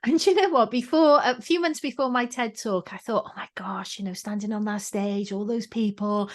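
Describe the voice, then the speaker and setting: A woman's voice: on the high side, normal speed. A woman with a Welsh accent speaks as a guest on a conversational podcast. She tells her stories with energy and slips into quoting her own past thoughts aloud. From Wales. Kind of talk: casual conversation.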